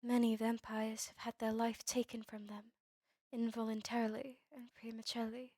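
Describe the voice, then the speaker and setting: A woman playing a melancholy, courteous vampire in an ASMR roleplay drama, with formal, old-fashioned, measured phrasing. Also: fairly high pitch, little pitch movement, darkish tone, dry recording, lightly acted. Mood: wry, grave. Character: melancholy, courteous female vampire, turned young over a century ago.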